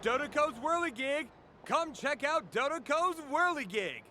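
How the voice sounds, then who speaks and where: 一位男演员在游戏中为年轻的游乐设施招待员配音，像照稿吆喝，又不时露出随意的口吻。. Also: male voice, extremely high pitch, expressive, a little slow, pushed and bright, a little hiss or hum, exaggerated voice. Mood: showy, peppy. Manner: showy announcer patter slipping into casual bewilderment. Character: chirpy young male fairground ride attendant reciting script. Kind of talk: video game story dialogue.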